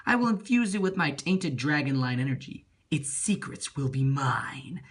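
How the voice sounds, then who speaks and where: A man's voice: on the high side, very animated, normal speed. A man voicing an arrogant, cruel emperor villain from a comic book, declaiming in a grandiose, menacing way. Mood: gloating.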